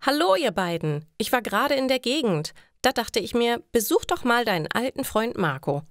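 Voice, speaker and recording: A woman's voice: fairly high pitch, big pitch swings, moderate pace, dry recording, voice pushed up. A woman voicing a pushy, easily offended male friend in a story for language learners. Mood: cheerful. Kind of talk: German learner story dialogue.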